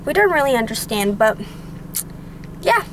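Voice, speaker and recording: Chatty high-voiced young woman vlogging. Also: a little slow, slight background noise, low-quality recording. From Utah, USA. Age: young adult. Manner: chatty, lots of "like" and "um", excitable. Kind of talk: casual vlog chat. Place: in a car, then a bedroom. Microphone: handheld camera at arm's length.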